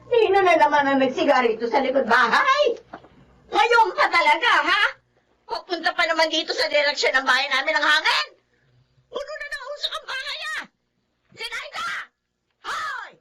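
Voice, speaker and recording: A feuding older woman with a very high, piercing voice. She scolds and picks fights in a sharp-tongued, confrontational way, as in a drama, over noticeable background noise. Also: big pitch swings, normal speed. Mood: angry, scolding.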